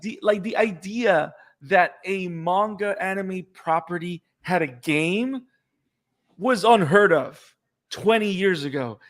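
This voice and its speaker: A man from Puerto Rico, a native Spanish speaker with a Puerto Rican accent, talking excitedly as a podcast co-host in casual chat. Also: sits high, takes it slowly. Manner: excited, repeats words when fired up.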